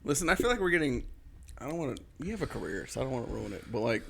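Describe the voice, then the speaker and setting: A man's voice: mid-range voice, very animated, very fast, gravelly, fairly bright tone, slight background noise. A man co-hosting a comedy podcast, riffing and joking with crude humor. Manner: joking, riffing, laughs often. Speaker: podcast co-host.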